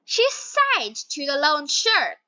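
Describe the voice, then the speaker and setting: A woman reading scripted story narration for language learners, word by word with frequent pauses. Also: high-pitched, big pitch swings, sharp tone, dry recording, muffled recording.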